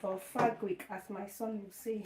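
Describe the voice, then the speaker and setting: A woman with a hoarse, croaky voice from losing it, chatting casually to viewers as she livestreams her sewing at home and talks herself through each step. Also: mid-range voice, fairly even intonation, moderate pace. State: hoarse, lost voice. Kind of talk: casual live chat while sewing. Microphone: phone or camera set up nearby. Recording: echoey room with faint noise.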